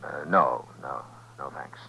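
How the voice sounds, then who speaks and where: A man playing a steady, courteous, laconic lawman in a 1950s radio Western. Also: rumbling low, slow, gravelly, breathy edge, heavily acted. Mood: reserved. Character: steady, courteous, laconic US Marshal in the Old West. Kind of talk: 1950s radio Western acting and first-person narration. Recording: muffled 1950s radio recording.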